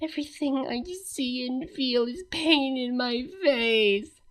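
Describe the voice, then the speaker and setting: Brief: dazed, moaning princess, very high, wailing, woman reading. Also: slow.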